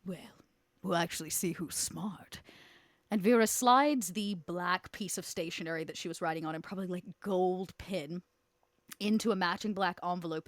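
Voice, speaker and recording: A woman with a slightly low voice talks casually as a player on a tabletop game podcast, improvising narration. The recording is dry, with little room sound. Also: dry recording.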